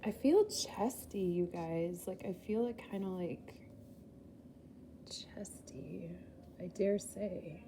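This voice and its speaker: A woman's voice: lowish voice, lively intonation, takes it slowly, background noise. A woman giving a casual, chatty tarot reading, rambling and laughing at herself, a little groggy after a poor night's sleep. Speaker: tarot reader.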